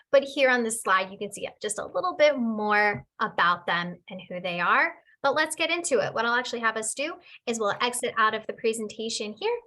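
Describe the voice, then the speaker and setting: A woman with a bright, fairly high voice moderates a webinar in an upbeat way, introducing guests and asking questions. She speaks over a video call. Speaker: webinar moderator from student affairs.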